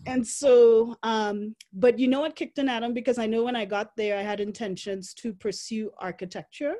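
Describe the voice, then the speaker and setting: A woman's voice: a little high, fairly even intonation, normal speed, warm tone. A woman in her forties from Trinidad, with a Trinidadian accent, telling her story as an interview guest, reflective and laughing often between fillers.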